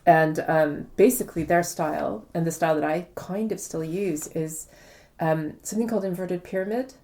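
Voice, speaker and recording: Female author in interview; low-ish, very soft-edged mellow voice, articulate step-by-step explainer. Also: moderate pace.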